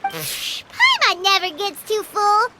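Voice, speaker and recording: A woman voicing a small, greedy, excitable childlike fairy companion in an animated video game, with a squeaky voice. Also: slow pace, very noisy, exaggerated voice. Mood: cheeky, content. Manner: refers to self in third person, exclamatory. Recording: music playing loudly behind the voice.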